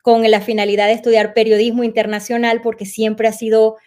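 A Venezuelan woman in her forties, a journalist being interviewed, recalling her path in a reflective storytelling way and pausing to search for words. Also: on the high side, little pitch movement, a little mellow. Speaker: guest journalist. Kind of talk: relaxed conversational interview.

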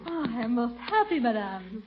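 A coquettish young dancer, a touch high, hissy old broadcast. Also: female voice, sing-song, measured pace, dull audio. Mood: coy. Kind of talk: 1940s radio comedy acting.

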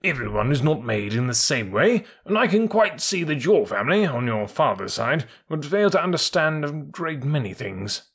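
A man's voice: fairly high pitch, very animated, moderate pace, gravelly, a bit bright, breathy edge, pitched above the performer's own voice, full character voice. Man voicing a proud, haughty cavalry horse. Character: big proud Australian troop horse, male, haughty.

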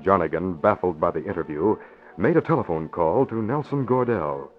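Deep-voiced radio drama narrator. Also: male voice, moderate pace. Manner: steady, formal storytelling. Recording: dull old radio recording with faint music.

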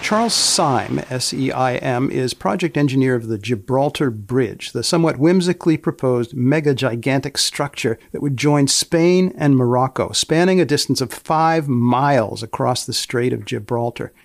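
A man hosting a podcast with scripted narration and short questions, speaking in a deadpan, deliberate, precise way. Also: medium pitch, vocal fry, no echo, studio quality. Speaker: podcast host and magazine editor.

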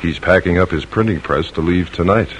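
Man playing loyal colonial silversmith, deep voice, plainspoken, steady, old radio drama, slight hiss of noise. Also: fairly quick, natural voice.

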